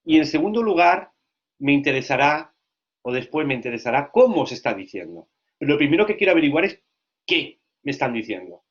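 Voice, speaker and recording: Lively male lecturer, lo-fi sound. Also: on the high side, a little fast. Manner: lively, asks frequent check questions. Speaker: language teacher trainer.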